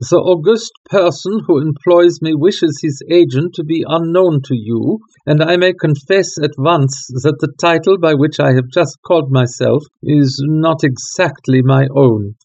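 A man's voice: a little high, unhurried, few pauses, darkish tone. A man voicing a haughty, agitated European king who speaks in a formal, stately way. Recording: dull lo-fi recording.

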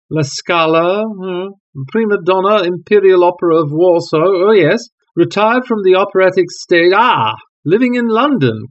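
A man's voice: high voice, pitched above the performer's own voice, heavily acted. A man voicing a languid, sardonic detective who speaks in a dry, murmured way. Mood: musing. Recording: dull lo-fi recording.

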